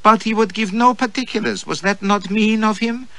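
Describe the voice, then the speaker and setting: A man's voice: sits high, steady tone, moderate pace, fairly bright tone, voice pushed up. A man putting on a teasing sing-song teenage girl. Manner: sing-song, playful, mock demure. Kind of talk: audiobook character voice.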